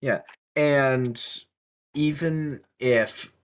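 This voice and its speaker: A man hosting a podcast in casual discussion, speaking in a halting, measured way with careful qualifications. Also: medium pitch, clear, resonant tone. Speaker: podcast host.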